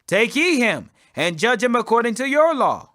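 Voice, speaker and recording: Guy doing a stern roman-era governor grilling a prisoner, high sharp voice, challenging. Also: sing-song, normal speed, heavily acted.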